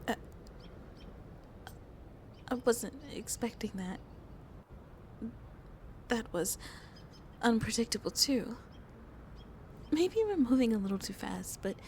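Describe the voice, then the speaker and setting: A woman playing a shy, lonely vampire in an intimate ASMR roleplay, speaking softly and hesitantly, often half whispering. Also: medium pitch, background noise. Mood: flustered, yearning. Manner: slow, hesitant, halting, soft and breathy. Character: shy, lonely female vampire on a tender first date.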